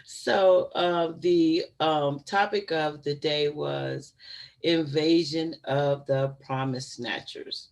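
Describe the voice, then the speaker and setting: A woman's voice: low voice, fairly even intonation, slow pace, gravelly, a little mellow. A woman in her fifties hosting a casual livestream from home, chatty and given to laughing asides to her viewers. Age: 50s. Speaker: channel host.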